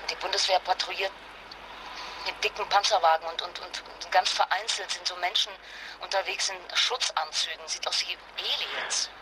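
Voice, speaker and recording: An actress playing an anxious but determined wife and mother in a naturalistic radio drama, speaking haltingly and with emotion. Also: on the low side, background noise, lightly acted. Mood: shaken.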